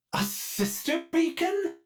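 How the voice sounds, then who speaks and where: A man's voice: extremely high pitch, full character voice. An actor playing a polite, fussy male ship AI in a video game who speaks of himself in the third person, heard through a glitchy robotic voice filter. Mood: warning. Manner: sudden dark glitched outbursts.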